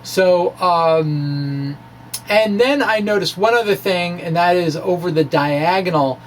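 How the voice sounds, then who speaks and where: A man giving an informal, self-deprecating technical ramble in a hesitant way, with animated asides. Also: high voice, slow pace, slight background noise, low-quality recording. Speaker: physics blogger presenting his own work. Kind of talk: informal technical ramble, reading of own blog.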